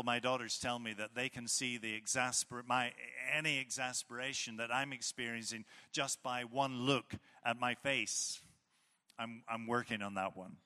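Northern Irish preacher in a reverberant hall. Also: male voice, mid-range voice, sharp tone. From Northern Ireland. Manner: measured, explanatory, with occasional fillers and restarts. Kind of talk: sermon.

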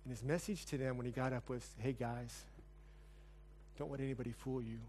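A man's voice: mid-range voice, steady tone, a little fast, a little mellow, a little hiss or hum. A pastor closing a sermon and leading a prayer in church, earnest, building his lines in repeated phrases. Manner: earnest, repetitive praying cadence.

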